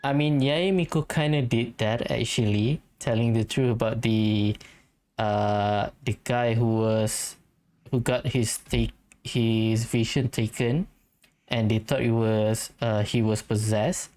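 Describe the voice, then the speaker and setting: A man giving casual, hesitant let's play commentary on a video game, speaking in a relaxed way. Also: medium pitch, takes it slowly. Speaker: gamer commenting on a playthrough.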